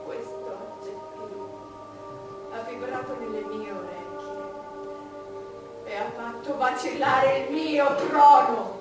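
A vengeful imperious queen in a stage play, slow declaiming, distant echoey sound w/ music. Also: a little high. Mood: bitter, menacing. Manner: declamatory, dramatic pauses, swinging from hushed to thundering. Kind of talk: theatrical stage monologue in Italian drama.

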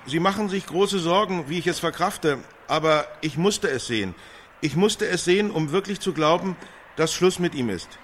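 A man's voice: fairly high pitch, lively intonation, normal speed, fairly bright tone, slight background noise. A man playing a subdued parent giving a witness interview in a radio drama. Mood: subdued, grave.

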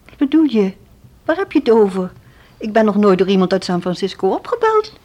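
A woman's voice: mid-range voice, sing-song, fairly quick, darkish tone. An actress playing an anxious, defensive woman in a radio play, earnest and hesitant. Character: anxious, defensive woman under police questioning. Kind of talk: Dutch radio play dialogue.

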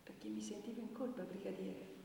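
An actress playing a wounded young woman giving halting, intense testimony about abuse in a theatrical radio drama. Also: mid-range voice, steady tone, gravelly. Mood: subdued, guilty. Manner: halting, intense, dropping to hushed tones. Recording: distant, echoey hall with faint noise.

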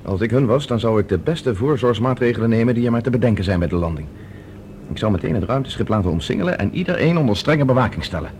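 Rapid-talking, decisive professor, played by a man. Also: medium pitch, lively intonation, a little mellow, a little hiss or hum, natural voice. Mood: calculating, urgent. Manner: urgent, analytical, authoritative. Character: decisive male professor plotting as captive space traveller. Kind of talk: science fiction radio drama acting.

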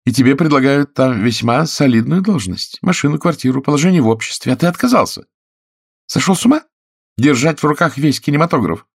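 Man voicing a haughty, venomous actress, pitched up. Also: breathy edge, dry recording, studio quality. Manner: spiteful, ironic, melodramatic. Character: haughty, shrill actress woman, venomous and theatrical. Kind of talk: audiobook character dialogue.

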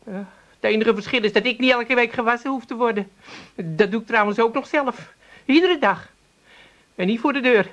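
An actress playing a middle-aged, fed-up Amsterdam housewife in a comic radio play, dry and sarcastic. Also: female voice, a little high, normal speed, a bit bright, lightly acted.

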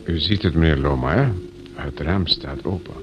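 An actor playing a paternal, officious police inspector who repeats himself, in a noisy old radio play recording. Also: male voice, very deep voice, normal speed, highs cut off. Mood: paternal, warning.

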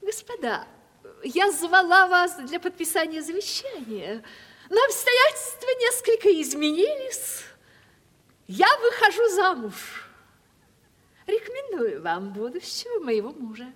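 A woman's voice: extremely high pitch, sing-song, measured pace, a bit bright, full character voice. An actress playing a vain, affected ageing widowed landowner in a radio stage comedy, gushing and theatrical as she justifies herself. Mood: gracious, grand. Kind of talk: 1970s Russian stage comedy radio acting. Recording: hall-like reverberant 1970s radio drama.

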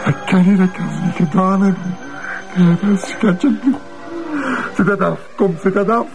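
An actor playing an agitated, easily riled suspect with a Flemish accent, defensive, stammering and indignant in a TV crime drama. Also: male voice, high-pitched, fairly even intonation, a little slow, over music, rough recording. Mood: distressed disbelief. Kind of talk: emotional screen acting in Flemish crime drama.

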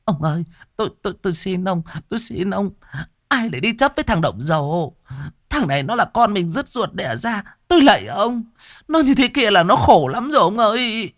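A frantic old village mother who stammers and begs, her voice pushed up high and wavering, as a male storyteller puts her on. She speaks, in a close, dry telling. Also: very animated, moderate pace, fairly bright tone, no room sound. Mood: pleading. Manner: stammering, begging.

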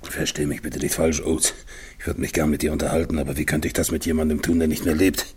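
An actor in a German radio play voicing a tormented, dying polar expedition leader who talks haltingly to himself, husky and gravelly. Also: male voice, rumbling low, flat delivery, a little mellow, a little hiss or hum. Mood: self-reproachful, agitated. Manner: halting, repeats words, swings between murmurs and desperate calls.

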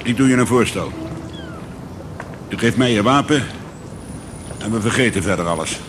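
An actor playing a controlled, middle-aged police commissioner in a radio play, with terse, measured questions. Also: male voice, on the low side, background noise, lightly acted. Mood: insistent, burdened.

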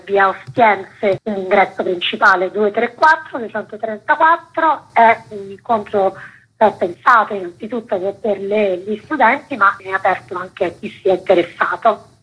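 A woman's voice: mid-range voice, sharp tone, husky, rough recording. A woman speaking as an expert guest in a radio interview, explaining in an articulate, academic way. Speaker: guest anthropologist presenting a book event.

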